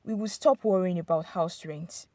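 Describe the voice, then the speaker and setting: A woman's voice: fairly low pitch, normal speed, mellow, no echo, studio quality, lightly acted. A woman voicing a teasing, supportive young female housemate in a told folktale. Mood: teasing relief.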